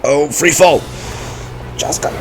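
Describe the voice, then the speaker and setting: A man's voice: on the high side, slow, background noise. A man giving live game reaction commentary, stammering and repeating words anxiously. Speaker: streamer reacting to a game story. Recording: game music behind voice. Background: loud game music.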